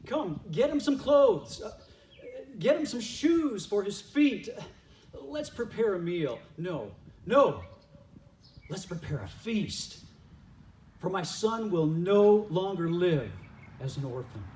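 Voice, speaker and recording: A man playing a loving, forgiving father who longs for his son in a church reader's theater skit, speaking deliberately and with heartfelt emphasis. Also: sits high, sing-song, darkish tone, full character voice. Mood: overjoyed. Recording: echoey room, distant mic, noisy.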